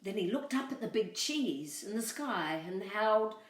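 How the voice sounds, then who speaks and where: A woman telling a storybook tale to children, expressive and speaking directly to her young listeners. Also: medium pitch, moderate pace, heavy background noise. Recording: roomy echo, far from mic.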